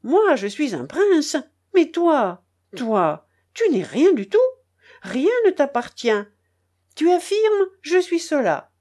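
A domineering grown prince in an audiobook, berating and indignant, as a woman acts him out. His voice is pushed high and loud, with wide, lively swings of pitch. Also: takes it slowly, exaggerated voice. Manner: scolding, indignant tirade. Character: angry, domineering grown man, a proud prince.

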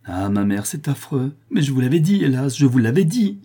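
Man playing an anguished, husky-voiced honest son. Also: lowish voice, normal speed, dark tone. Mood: anguished despair. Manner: pleading, indignant, compassionate. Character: anguished, honest son of a condemned criminal mother.

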